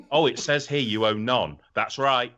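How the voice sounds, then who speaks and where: A man's voice: medium pitch, unhurried, sharp tone, muffled recording. A man co-hosting a podcast in casual, comedic chat, ranting animatedly and swearing freely. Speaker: podcast co-host.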